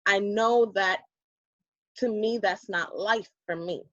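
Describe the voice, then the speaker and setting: A woman's voice: medium pitch, slow pace, dry recording. A woman in her thirties with a New York Puerto Rican accent, chatting animatedly as an interview guest. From Bronx, New York. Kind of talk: casual interview chat. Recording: dull lo-fi audio.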